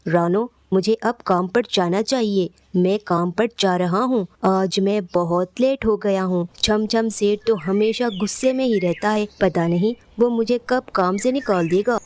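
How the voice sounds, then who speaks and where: A woman's voice: medium pitch, measured pace, hardly any pauses, darkish tone, natural voice. A woman playing a proud, hard-working father sparrow in a children's cartoon, earnest and fatherly, with faint noise in the recording. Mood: worried. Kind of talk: Hindi children's cartoon story acting.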